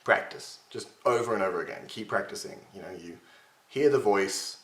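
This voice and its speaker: Young man, lowish pitch, slightly gravelly from strain, chatty self-mocking webcam tutor, roomy home echo. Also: moderate pace, a bit bright. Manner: chatty, self-mocking, gestures with his hands. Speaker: voice impression tutor. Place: home room with shelves, indoors. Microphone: webcam at desk distance.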